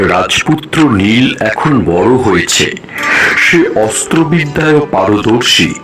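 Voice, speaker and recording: A man playing an aging, fatherly king in a cartoon, speaking in a measured, stately manner. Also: deep voice, rough recording. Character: aging king, calm, deliberate, fatherly ruler. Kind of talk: cartoon story voice acting.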